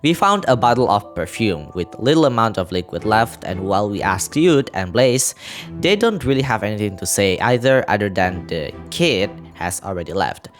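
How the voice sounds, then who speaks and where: Male recap narrator: lowish register, animated melody, scripted with offhand asides, faint music underneath. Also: normal speed. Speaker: video creator narrating a game story recap.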